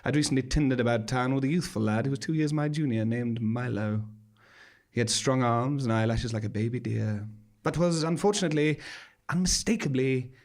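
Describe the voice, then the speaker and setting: A man's voice: medium pitch, brisk, darkish tone. A man performing a scripted first-person story on stage in an arch, droll, dramatic manner. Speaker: monologue performer. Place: small theatre stage, live performance.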